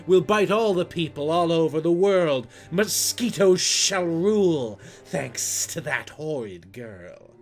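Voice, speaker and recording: A man voicing a menacing, scheming mosquito queen from a rhyming storybook, speaking in a slow, drawn-out way over music. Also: a little high, lively intonation, pitched above the performer's own voice. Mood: gloating, power-hungry. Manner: slow, drawn-out, plotting delivery. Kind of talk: storybook rhyme character voice.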